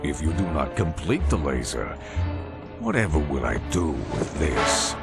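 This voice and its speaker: An actor playing a suave, menacing crime boss in a video game, politely threatening. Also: male voice, very deep voice, sing-song, gravelly, loud music behind the voice, exaggerated voice. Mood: smooth menace. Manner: slow-burning, politely threatening. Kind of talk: video game cutscene acting.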